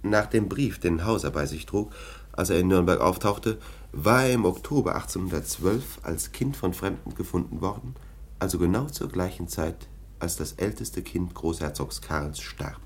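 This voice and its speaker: An actor playing a gruff, scornful 1830s police lieutenant in a German radio drama, with a husky voice and a sneering, officious, emphatic manner. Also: male voice, low voice, moderate pace. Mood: confidential, sardonic.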